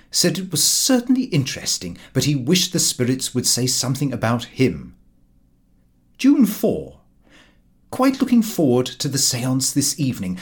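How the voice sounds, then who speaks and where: A man reading a comic diary aloud as an audiobook narrator, in expressive scripted narration. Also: mid-range voice.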